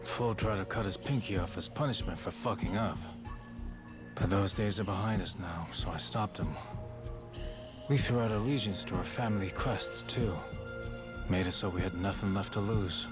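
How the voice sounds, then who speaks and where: A man playing a frank, weary middle-aged yakuza boss in a video game, talking in gruff, matter-of-fact slang. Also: deep voice. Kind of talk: video game cutscene acting. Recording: loud music behind the voice.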